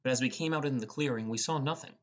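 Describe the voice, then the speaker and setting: A man's voice: medium pitch, fast talker. A man reading first-person fantasy narration as an audiobook narrator. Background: music.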